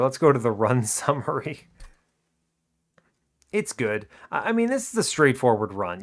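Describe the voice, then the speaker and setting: A man giving casual, explanatory video game commentary in an informal way, often checking in with the listener. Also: mid-range voice. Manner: informal, animated bursts, checks in with rhetorical "right?" and "okay?". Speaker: video game streamer, series host.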